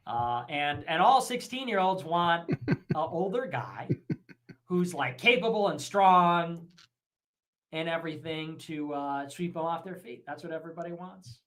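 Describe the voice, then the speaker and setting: Brash, bright-voiced man ranting. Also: fairly high pitch, expressive, measured pace. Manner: brash, mocking, bursts of speed. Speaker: online streamer in a clip. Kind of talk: provocative rant.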